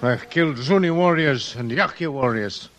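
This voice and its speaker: An actor playing a proud, hot-headed young Apache warrior in a 1950s radio western, with stiff, formal phrasing. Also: male voice, medium pitch, moderate pace, close to an everyday voice. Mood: defiant, angry. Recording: old radio recording with slight noise.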